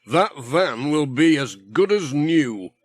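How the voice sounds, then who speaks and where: A man's voice: fairly high pitch, animated, slow pace, slight background noise. A man voicing a breezy, slapdash village handyman with blunt, offhand rustic talk for a children's audiobook. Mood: unbothered.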